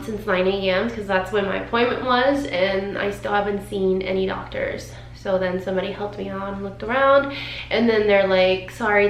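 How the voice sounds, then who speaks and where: Woman, thirties, emotional and candid vlogger, mid pitch, roomy recording. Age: 30s. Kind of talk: personal vlog chat. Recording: roomy sound with faint noise.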